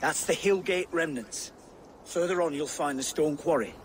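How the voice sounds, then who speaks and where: An actor playing a distrustful Briton refugee in a video game. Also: male voice, fairly high pitch, moderate pace, slight background noise, lightly acted. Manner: suspicious, then cooperative. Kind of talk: video game cutscene acting.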